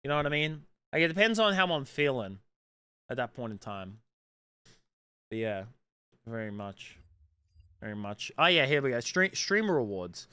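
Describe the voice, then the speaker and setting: A man's voice: medium pitch, sing-song, moderate pace, pauses often, bright, forceful tone, clear, resonant tone, dry recording. A man in his late twenties with a mild Australian accent, chatting casually as a streamer and answering viewer comments in a blunt, profane way, with lots of fillers. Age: late 20s.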